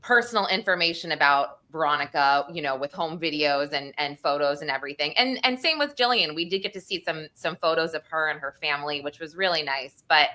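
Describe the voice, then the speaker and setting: American female true crime co-host, low voice with a bright edge, chatty and informal. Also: lively intonation, brisk, few pauses. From Northeastern United States. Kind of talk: casual true crime discussion.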